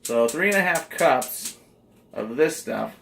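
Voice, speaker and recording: A man's voice: mid-range voice, expressive, slow pace, creaky, a bit bright, soft background music. A man hosting a casual cooking video in a home kitchen, joking and chatty. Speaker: cooking vlog host.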